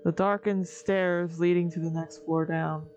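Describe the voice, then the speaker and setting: A woman giving scripted second-person narration of a horror story, measured and theatrical. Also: lowish voice, steady tone, a little mellow. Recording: dull recording with background music.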